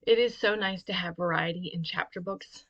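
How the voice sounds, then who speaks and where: Lower-voiced woman chatting on a vlog. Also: a little fast, fairly bright tone, highs cut off. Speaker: homeschooling mother presenting her vlog. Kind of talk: casual educational vlog talk.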